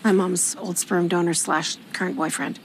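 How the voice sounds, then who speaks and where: A woman's voice: on the low side, fairly even intonation, noisy background, natural voice. A woman playing a dismissive, exasperated woman. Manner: brisk, dismissive, dry. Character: exasperated woman rejecting a suitor. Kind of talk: TV comedy scene.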